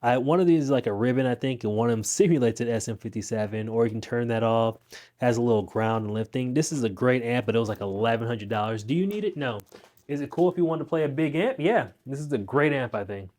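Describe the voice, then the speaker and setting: A man's voice: medium pitch, quick pace, a little mellow. Laid-back young man rambling about gear. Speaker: guitar teacher and channel host. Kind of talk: casual gear rundown. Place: home music room. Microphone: wireless clip-on mic into phone.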